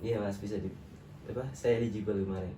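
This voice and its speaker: A young man from Central Java with a Banyumasan Ngapak accent, answering as a student client in a soft-spoken, agreeable way. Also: on the low side, steady tone, quick pace. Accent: Banyumasan (Ngapak) Javanese. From Central Java, Indonesia. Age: young adult. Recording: roomy, distant mic with faint noise.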